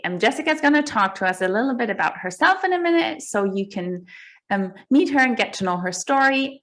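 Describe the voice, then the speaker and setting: A woman's voice: medium pitch, vocal fry, fairly bright tone. A woman hosting a podcast, asking her guest questions in a friendly, easygoing way with many fillers. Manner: friendly, many fillers, speaks in long running sentences. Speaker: podcast host. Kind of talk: casual podcast interview.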